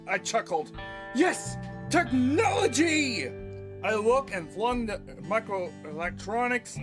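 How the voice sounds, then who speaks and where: A man hosting an audio play podcast, reading his own writing theatrically with a halting, dramatic delivery. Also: high-pitched.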